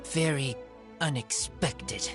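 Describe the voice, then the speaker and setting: An actor playing a shy feral teenage boy raised by wolves in a video game, speaking in halting, broken phrases. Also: mid-range voice, natural voice. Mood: sheepish. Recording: music clearly behind the voice.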